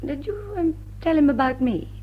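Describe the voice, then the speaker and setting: A woman's voice: high-pitched, lively intonation, moderate pace. An actress playing a witty lady thief in love in a radio play, with an upper-class English accent and an arch, teasing, poised manner. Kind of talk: British radio play acting. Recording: dull old radio broadcast recording.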